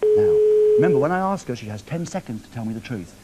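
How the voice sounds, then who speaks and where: A man's voice: medium pitch, very animated, fast talker, heavy background noise. A man hosting a live TV show, teasing a caller on the phone with cheeky questions. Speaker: TV presenter. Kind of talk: live TV prank phone call. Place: TV studio.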